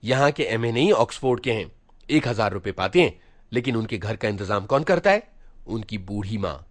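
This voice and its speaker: A man's voice: on the low side, expressive, moderate pace, dry recording. A man voicing a stern elder brother in a story reading, a schoolboy who lectures his junior with scolding, emphatic moralizing and rhetorical questions. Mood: earnest lecturing.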